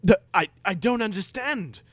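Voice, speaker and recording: A man's voice: high voice, animated, normal speed, no room sound, voice pushed up. A man voicing a timid, bewildered schoolboy sidekick who stammers and whines in a dramatic reading. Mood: bewildered, pleading.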